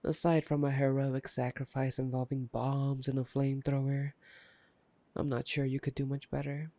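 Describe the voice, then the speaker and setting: A man playing a taunting, playful, predatory vampire in an intimate ASMR roleplay, teasing and drawling with soft menace. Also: medium pitch, completely flat delivery, moderate pace, no echo, lightly acted. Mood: musing, wry.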